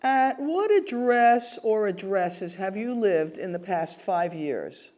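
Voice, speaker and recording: A woman's voice: mid-range voice, animated, takes it slowly, a little mellow. A woman in the interviewer's role, asking formal questions politely in a scripted interview.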